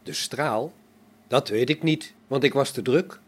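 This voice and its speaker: An actor playing a self-assured robot in a radio play, speaking in a formal, condescending, matter-of-fact way. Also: male voice, medium pitch, a little slow, lightly acted. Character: self-assured robot running a space station, coolly logical.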